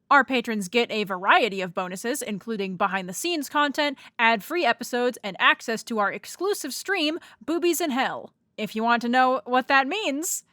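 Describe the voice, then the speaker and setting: Female podcast host, high bright voice, scripted promo read, close dry sound. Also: no echo. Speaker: podcast host reading listener thanks.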